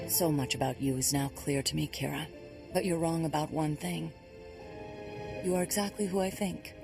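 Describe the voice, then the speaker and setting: A creaky-voiced Jedi master for a sci-fi game acted by a man. He is solemn, weary and kindly, speaking formally and with measured care. Music plays clearly behind him. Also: fairly high pitch, steady tone, lightly acted. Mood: fond, assuring. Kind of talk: video game voice acting.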